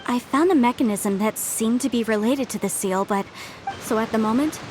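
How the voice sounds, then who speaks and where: A woman's voice: fairly high pitch, moderate pace, a little mellow, a little hiss or hum, lightly acted, rough recording. A woman voicing an earnest, indignant historian. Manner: earnest and sharply reproachful. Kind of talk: game voice acting. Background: game music.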